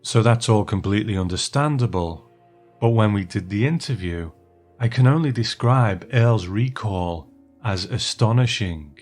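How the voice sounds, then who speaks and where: A man hosting a podcast with a scripted introduction, speaking in an earnest, measured way. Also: lowish voice, darkish tone, faint music behind. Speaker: podcast host.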